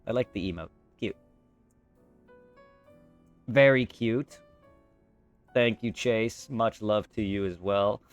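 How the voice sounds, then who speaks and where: A man's voice: fairly low pitch, takes it slowly, pauses often, highs cut off, faint music behind. A man streaming live, chatting casually with viewers, thanking them and swearing freely.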